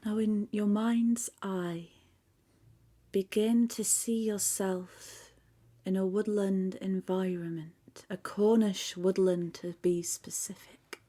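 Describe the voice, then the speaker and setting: A woman leading a guided meditation in a soft, calm voice that often drops to a near-whisper. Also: medium pitch, takes it slowly. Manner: soft, calm, hushed, half-whispered at times.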